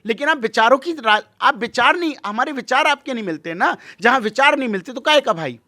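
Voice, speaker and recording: High-pitched, emphatic male religious leader. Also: animated, fairly quick, pushed and bright, no room sound, studio quality. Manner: emphatic, rhetorical questions and repetition. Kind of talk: impassioned interview monologue.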